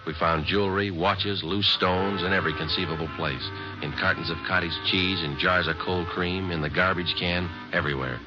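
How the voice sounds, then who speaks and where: A man playing a terse, deadpan police sergeant who also narrates, in a 1950s radio drama. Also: sits low, normal speed, few pauses, over music, close to an everyday voice. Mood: narrating. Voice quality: deadpan, clipped. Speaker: detective narrator. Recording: muffled old radio broadcast.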